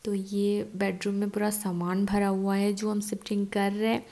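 A woman's voice: mid-range voice, little pitch movement, normal speed, creaky, warm tone. A young woman in her twenties chatting casually as she walks a phone camera through her new, empty house. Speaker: vlogger. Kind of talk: casual home tour vlog. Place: empty house with bare rooms, indoors. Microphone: phone camera, at arm's length then handheld while walking.

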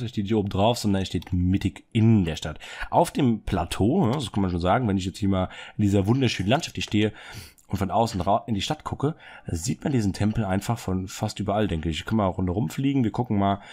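Excitable young man with a cold. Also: fairly low pitch. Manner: excited, fidgety, rambling with many fillers. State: has a cold, clears throat. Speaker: Minecraft server builder showing a city. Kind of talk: casual gameplay commentary. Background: soft background music.

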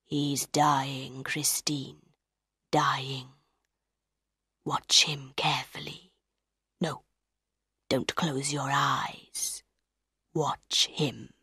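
A woman voicing an ugly, tormented, lovesick man in an audiobook, in a slow, hushed, breathy whisper, menacing yet pleading. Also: very deep voice, level tone, no echo, studio quality, pitched below the performer's own voice, exaggerated voice. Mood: cruel, menacing. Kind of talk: audiobook character voice.

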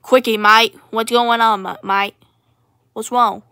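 A woman's voice: on the high side, fairly even intonation, takes it slowly, bright, forceful tone, clean tone, full character voice. A woman voicing Australia as a cheerful, knife-happy Aussie bloke in an improvised comedy skit, brash and matey with an Australian accent. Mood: menacing, cheerful. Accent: Australian, put on. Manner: brash, matey, repetitive slangy phrasing.

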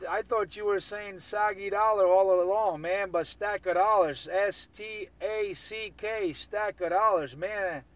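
A man talking casually in CB radio slang, repeating catchphrases as he operates and records. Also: high-pitched. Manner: repetitive, chant-like catchphrases.

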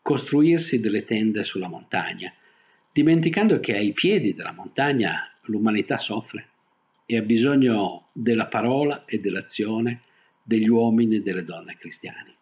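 An older man preaching a sermon as a pastor, phrasing deliberately with pauses. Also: fairly low pitch, lively intonation, darkish tone. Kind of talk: Protestant sermon. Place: small indoor room, seated at a desk. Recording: dull, muffled webcam audio.

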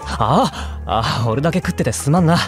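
A man's voice: medium pitch, expressive, normal speed, loud music behind the voice, natural voice. A man playing an easygoing, kindly young man, obliviously content, in a Japanese anime audio drama. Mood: relishing, easygoing. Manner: casual, easygoing.

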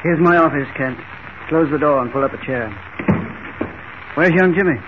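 An actor playing an incredulous airfield boss in theatrical, exclamatory 1940s radio drama style, on an old radio recording. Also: male voice, fairly high pitch, moderate pace, natural voice. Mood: genial. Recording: tinny, noisy 1940 radio recording.

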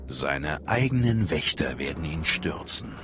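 A man's voice: extremely low pitch, very animated, a little slow, sharp tone, a little hiss or hum, full character voice. A man playing a cool, confident Sith inquisitor in a German game dub, terse and self-assured. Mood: cool, obedient.

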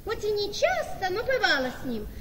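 Naive adoring young wife, very high voice, gushing, radio play, reverberant hall. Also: female voice, wildly swooping pitch, brisk, noisy background, exaggerated voice. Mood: confiding. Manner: gushing, exclamatory. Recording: reverberant hall with crowd sound.

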